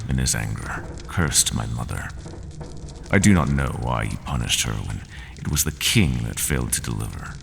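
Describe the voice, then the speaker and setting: A man playing a gravelly ancient bull monster. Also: exaggerated voice. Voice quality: deep gravelly voice. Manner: slow, measured, softly menacing. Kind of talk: ASMR roleplay. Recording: echoey cavernous reverb with steady noise.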